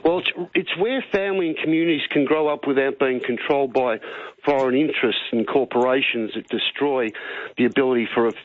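A man's voice: medium pitch, a little fast, no room sound. A middle-aged man with an Australian accent phoning a radio show, earnest and opinionated, rambling and matey. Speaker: talkback radio caller. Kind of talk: opinionated phone-in talk. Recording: tinny phone line on radio.

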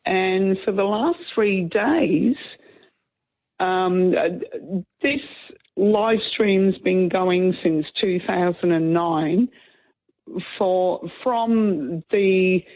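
A woman calling in to a radio show, husky from a cold, speaking slowly and politely. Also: on the low side. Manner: slow, polite. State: has a cold. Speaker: caller. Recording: tinny phone line.

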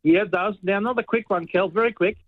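A man's voice: high voice, quick pace, dry recording. A male caller on a radio phone-in, asking curious questions about words. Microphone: telephone. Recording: thin phone line.

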